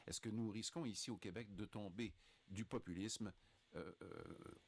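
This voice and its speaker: A man's voice: lowish voice, measured pace, creaky, husky. A man hosting a radio panel chat in an informal, teasing, rambling way. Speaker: radio show host. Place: radio studio.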